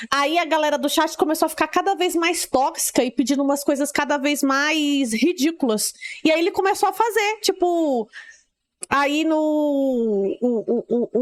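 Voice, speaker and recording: A woman streamer reacting casually to a video, chatty and hesitant, with disgusted asides. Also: sits high.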